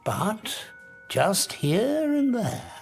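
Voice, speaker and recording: An actor playing an obsessive, grandiose scientist in an audiobook drama, arrogant and emphatic, lecturing with dramatic pauses. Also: male voice, high voice, wildly swooping pitch, creaky, faint music behind, full character voice. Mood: intense explaining.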